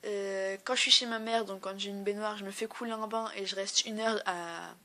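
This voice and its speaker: A woman's voice: mid-range voice, fairly even intonation, moderate pace, sharp tone. A young woman with a blocked nose and a sore throat, chatting casually and rambling with lots of fillers in a home vlog filmed on a tablet at home. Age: young adult. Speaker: beauty vlogger. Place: at home in a bedroom. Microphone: tablet camera.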